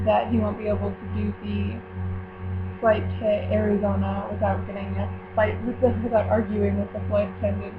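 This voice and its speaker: A teenage girl chatting casually to her viewers, rambling with many fillers and correcting herself as she goes. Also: on the high side. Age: teens. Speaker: vlogger running a book club. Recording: tinny lo-fi with steady mains hum.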